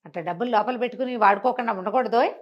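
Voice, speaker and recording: A woman's voice: fairly high pitch, rapid-fire, highs cut off, lightly acted. A woman storyteller voicing an older male pilgrim who nags and advises in a familiar, well-meaning way. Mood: chiding advice.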